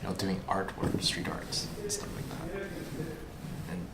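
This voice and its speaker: A man's voice: sits low, big pitch swings, a little slow, gravelly. A man telling a story in casual chat, peppered with "like". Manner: casual, many "like"s. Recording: echoey with loud noise.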